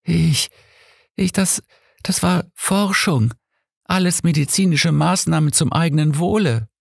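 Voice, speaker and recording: A man voicing a frightened blind old man, whimpering and halting, in an audiobook thriller. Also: a little high, creaky, slightly rough, no room sound, studio quality, voice pushed up, full character voice. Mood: defensive, stammering. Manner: stammering, fearful, halting.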